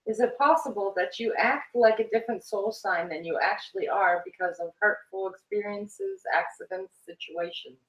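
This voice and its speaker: Woman, co-host, mid pitch, gentle comment reading, echoey room. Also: moderate pace, hardly any pauses.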